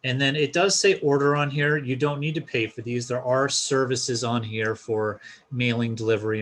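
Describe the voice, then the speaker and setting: Male tutorial presenter, medium pitch, casual and instructional, guiding viewers through an on-screen walkthrough. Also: a bit bright. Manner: informal, explanatory, step-by-step.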